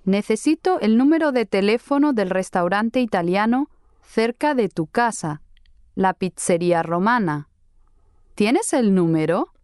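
An actress playing a friendly young woman in a slow, scripted dialogue for language learners. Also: mid-range voice, expressive, a little mellow, dry recording, clean studio sound, lightly acted. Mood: careful. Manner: clear, careful friendly delivery.

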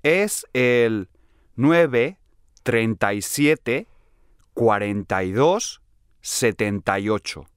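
A man playing a friendly young man in a scripted language-lesson dialogue, clearly enunciated. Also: medium pitch, animated, drawn-out words, no echo, clean studio sound. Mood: friendly, attentive. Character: friendly young man from Salamanca, aged twenty-three.

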